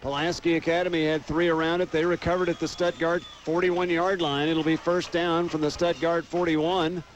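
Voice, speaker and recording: A man's voice: on the high side, little pitch movement, normal speed, few pauses, a little hiss or hum. An American man doing live radio play-by-play commentary of a football game.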